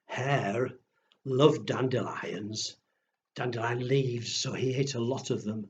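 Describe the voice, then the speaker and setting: A man telling stories to pre-school children in a simple, deliberate way, often putting questions to his young listeners. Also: mid-range voice, a little mellow, dull audio. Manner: slow, deliberate, addressing small children with questions. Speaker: children's storyteller.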